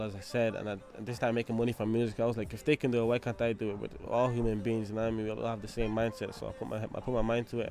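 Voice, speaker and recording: A man's voice: fairly low pitch, a little mellow. A man, talking about his life as a rapper in a loose, chatty way. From Angola. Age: 20s. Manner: loose and chatty, leaning on "you know what I mean" and "obviously". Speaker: rapper telling his story. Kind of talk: casual documentary talk.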